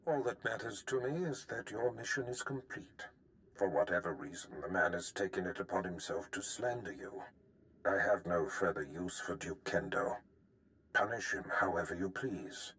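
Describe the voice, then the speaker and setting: An actor playing a sinister, scheming Sith master in a video game, with a deliberate, grandiose and formal delivery. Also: male voice, low-pitched, a bit bright, low-quality recording. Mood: grave. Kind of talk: theatrical video game villain acting.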